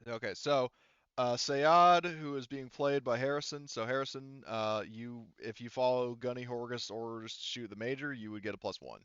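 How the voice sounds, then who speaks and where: A man's voice: medium pitch, moderate pace, fairly bright tone, clear, resonant tone, no echo, lo-fi sound. A man running a tabletop roleplaying session as game master, casually explaining rules in a hesitant, thinking-aloud way.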